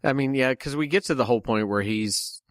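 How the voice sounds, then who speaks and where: A man's voice: mid-range voice, a little fast, no room sound, clean studio sound. A man co-hosting a film podcast in a casual, joking way while getting over a head cold. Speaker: podcast co-host. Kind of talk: casual chat about a film.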